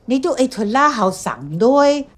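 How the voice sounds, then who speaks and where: A woman's voice: high voice, very animated, measured pace, full character voice. An actress playing a woman who runs an adoption center, speaking in plain, everyday conversation. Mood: warm. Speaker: film character.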